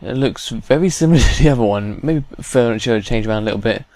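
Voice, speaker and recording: A man's voice: medium pitch, fast, a little mellow, dry recording. A man hosting a gameplay video, commenting casually and informally with playful exasperation. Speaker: gaming video host.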